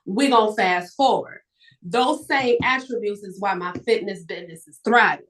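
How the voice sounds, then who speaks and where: A woman talks as a motivational guest on a live stream. Her delivery is emphatic and animated, projecting clearly like a storyteller, at a middling pitch. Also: normal speed, rough recording. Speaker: guest author and entrepreneur.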